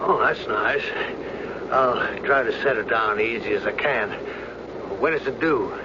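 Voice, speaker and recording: An actor in a radio drama playing a weary but steady doctor forced to pilot an airliner. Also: male voice, medium pitch, a little slow, lightly acted. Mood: wry, weary. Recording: dull, noisy old radio drama recording. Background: loud aircraft drone.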